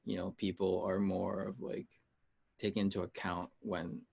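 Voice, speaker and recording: Male music artist as interview guest, from the US, lowish voice, informal, rambling and halting delivery. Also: level tone, creaky, darkish tone, husky. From United States, Peruvian heritage. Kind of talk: casual interview chat.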